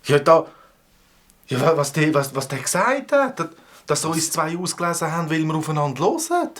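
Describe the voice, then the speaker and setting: An actor voicing an excitable, bossy boy adventurer with a Swiss German accent in a children's audio drama, talking in exclamatory, halting bursts. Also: a little high. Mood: doubtful.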